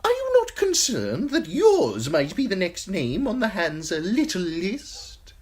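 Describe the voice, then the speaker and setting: A woman voicing a sly, simpering male eunuch courtier with a high, tittering voice, in an audiobook. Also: wildly swooping pitch, measured pace, a little mellow, husky, exaggerated voice. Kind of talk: audiobook character voice.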